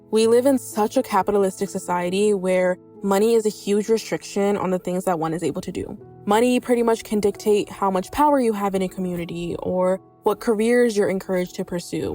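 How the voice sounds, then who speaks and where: A woman's voice: medium pitch, a little mellow. A young woman from New York, a content writer, reading an earnest personal reflection. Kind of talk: personal reflection read aloud.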